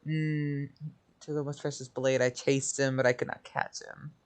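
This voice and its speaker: A man's voice: mid-range voice, level tone, moderate pace. A man giving casual, offhand commentary on a video game and reading its text aloud. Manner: offhand, informal reactions.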